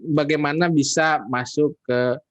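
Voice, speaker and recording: A man's voice: a little high, fairly even intonation. A man with a North Sumatran Indonesian accent hosting a podcast, playful and teasing in casual chat. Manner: playful, teasing, quick asides. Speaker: podcast host.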